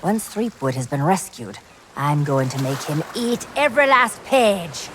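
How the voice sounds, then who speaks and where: A woman's voice: low-pitched, sing-song, unhurried, a bit bright, slight background noise, exaggerated voice. A woman playing a vengeful, hot-headed pirate in a video game cutscene, biting and sarcastic. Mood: vengeful, commanding. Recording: storm and sea ambience behind.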